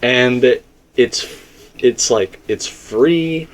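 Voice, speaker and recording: A man's voice: medium pitch, drawn-out words, a little hiss or hum. A man in a casual, rambling fan discussion, repeating himself for emphasis as he co-hosts. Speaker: co-host discussing video game theories.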